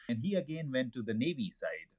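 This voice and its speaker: A man's voice: mid-range voice, very animated, fairly quick, darkish tone. A man hosting a podcast interview, effusive and eager as he welcomes his guest and asks questions. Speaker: podcast host. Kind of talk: conversational interview.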